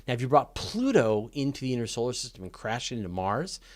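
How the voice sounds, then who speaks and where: A man's voice: medium pitch, very animated, quick pace. A man hosting a live question and answer session, talking off the cuff in an informal, opinionated, emphatic way and thinking aloud. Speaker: host of a live space and astronomy Q&A. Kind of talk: casual off-the-cuff Q&A commentary.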